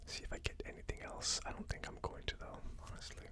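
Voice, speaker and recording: An ASMR host whispering a tasting review in a hushed, unhurried, musing way. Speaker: host of a whiskey tasting channel.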